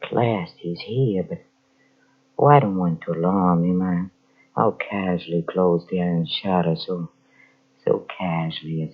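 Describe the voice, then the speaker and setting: An actor playing a haunted Frenchman descending into madness in an old radio horror drama, halting and melodramatic, with repeated broken phrases. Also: deep voice, breathy edge. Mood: hushed, scheming. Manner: halting, breathless repetitions, melodramatic. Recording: muffled old radio drama cassette transfer.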